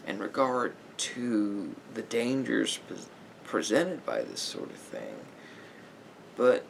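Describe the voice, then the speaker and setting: A man playing a sympathetic therapist in an ASMR roleplay, giving gentle advice in a halting, repetitive, hedging way. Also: fairly low pitch, gravelly, slight background noise, rough recording. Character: calm, sympathetic male therapist counselling a client.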